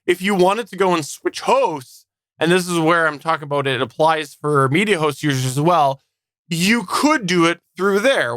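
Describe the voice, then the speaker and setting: A man's voice: fairly high pitch, pushed and bright, clean tone, no room sound. A man co-hosting a podcast, explaining things in an informal, conversational way. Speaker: podcast co-host. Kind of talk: casual explanatory podcast talk.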